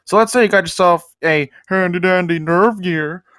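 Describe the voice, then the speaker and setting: A man's voice: high-pitched, normal speed, a bit bright, dry recording, studio quality. A young man hosting a casual comedic anime commentary, rambling with self-deprecating jokes muttered under his breath. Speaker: host.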